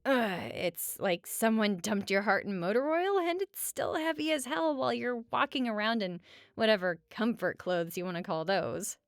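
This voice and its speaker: A woman playing a sarcastic but caring roommate in a conversational audio roleplay, talking casually with wry teasing and hesitant pauses. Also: a little high, no room sound, clean studio sound, natural voice. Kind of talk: conversational comfort audio roleplay at regular speaking volume.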